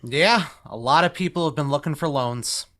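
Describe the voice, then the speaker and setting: Casting: male actor as principled banker, earnest and quietly firm, for musical table-read dialogue. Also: on the high side, moderate pace, close to an everyday voice.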